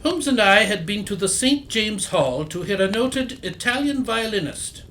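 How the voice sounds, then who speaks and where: A man playing a genial, old-fashioned gentleman doctor who recounts old cases in an audio drama. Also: high-pitched, lively intonation, moderate pace, slight background noise. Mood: reminiscing. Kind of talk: audio theatre acting, storytelling.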